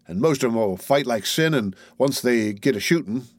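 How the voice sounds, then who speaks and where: A man's voice: mid-range voice, expressive, normal speed, dry recording, studio quality, close to an everyday voice. A man reading aloud and voicing a boastful tall young soldier who talks in a drawling, folksy way. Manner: drawling, folksy, sure of himself.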